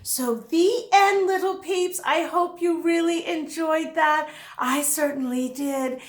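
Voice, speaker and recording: A woman reading a storybook aloud to young children, expressive and full of exclamations, with chatty asides to her listeners. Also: high voice, takes it slowly. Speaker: children's storybook reader and channel host. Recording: roomy, echoing sound.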